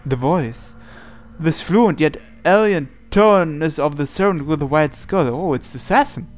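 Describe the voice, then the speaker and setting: A man's voice: a little high, expressive, unhurried. A man reading visual novel narration aloud in a dramatic way. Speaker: gaming channel host. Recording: game music behind the voice. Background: background music from the game.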